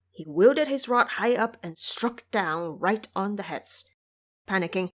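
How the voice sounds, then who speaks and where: A woman narrating an audio drama with expressive, vivid storytelling. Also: medium pitch.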